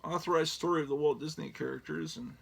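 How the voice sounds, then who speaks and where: A man's voice: fairly high pitch, fairly even intonation, fast talker. A middle-aged man in a casual, rambling show-and-tell, profane and digressive with a self-mocking streak. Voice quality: gravelly.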